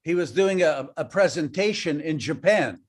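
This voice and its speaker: A man with a high-pitched voice talks over a video call. He speaks in short, measured phrases with a light joking touch, stopping often so his words can be translated. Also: expressive, breathy edge. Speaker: guest speaker. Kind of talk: casual talk through an interpreter.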